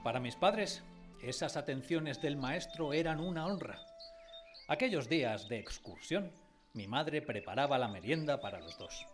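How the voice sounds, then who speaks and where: A man's voice: mid-range voice, lively intonation, moderate pace, natural voice. An actor narrating a radio drama as a man recalling his village childhood, wistfully, in a literary style. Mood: reflective. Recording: music behind the voice.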